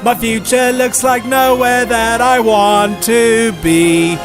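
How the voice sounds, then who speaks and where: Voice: mid-range voice, steady tone, slow, over loud music, pitched above the performer's own voice, lightly acted. A performer playing a downtrodden, hopeful boy in a plaintive, earnest manner. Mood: wistful singing.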